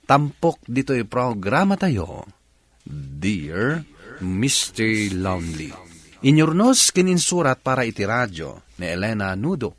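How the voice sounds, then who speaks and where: A man's voice: mid-range voice, big pitch swings, slow pace. A man with an Ilocano accent hosting a radio program with scripted advice and drama narration. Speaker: radio host.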